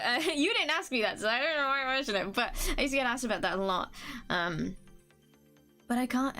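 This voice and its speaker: A woman's voice: mid-range voice, fairly bright tone. A young woman, chatting casually on a live stream, rambling, restarting sentences and laughing now and then. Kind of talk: casual chat reading comments.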